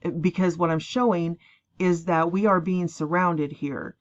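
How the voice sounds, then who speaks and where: A tired woman rambling emphatically as she introduces a clip, breaking into quick mocking asides. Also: lowish voice, fairly even intonation. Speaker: online prophecy channel host. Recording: dull lo-fi recording.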